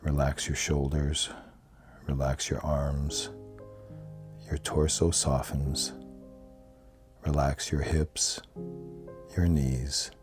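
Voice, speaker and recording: Very deep-voiced man guiding meditation. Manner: calm, soothing, measured instruction. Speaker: yoga nidra guide. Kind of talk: guided meditation. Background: soft plucked guitar music.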